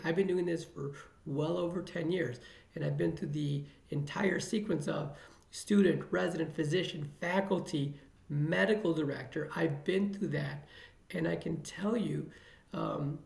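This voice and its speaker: A man's voice: a little high, vocal fry, a little mellow, reverberant. A middle-aged man from the Chicago area, an emergency physician giving earnest, motivational interview answers and repeating phrases for emphasis.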